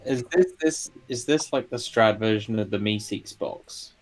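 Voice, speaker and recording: A man's voice: fairly low pitch, measured pace, poor audio. A man playing a tabletop game, joking in an offhand way. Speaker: player. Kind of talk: casual table talk. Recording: faint music behind.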